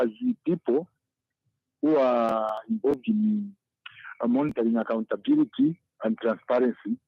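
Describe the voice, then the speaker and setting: A man gives an unscripted comment down a narrow, tinny phone-like line. His voice is husky with a gravelly creak, and he phrases things cautiously and haltingly. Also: medium pitch, dry recording. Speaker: interviewed organisation leader giving comment. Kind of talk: spontaneous spoken comment.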